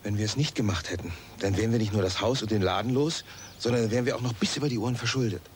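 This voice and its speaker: An actor playing a stubborn grown son mixed up in crime, insistent and placating, in an audio drama. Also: male voice, fairly low pitch, very fast, slight background noise, close to an everyday voice. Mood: insistent reassuring. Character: stubborn grown son, reassuring small-time crook. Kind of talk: German audio drama acting.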